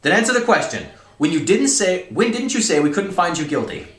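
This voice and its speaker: A man voicing a furious, bullying military colonel from a novel, shouting and badgering in exasperation. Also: high-pitched, big pitch swings, a little fast, echoey room, voice pushed up. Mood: exasperated, badgering.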